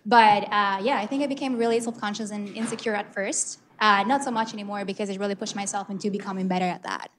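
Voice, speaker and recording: A woman's voice: medium pitch, fairly even intonation, quick pace, few pauses, a little hiss or hum. A woman from Colombia with a Colombian Spanish accent, a native Spanish speaker, talking candidly on a panel with many fillers. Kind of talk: panel discussion answer.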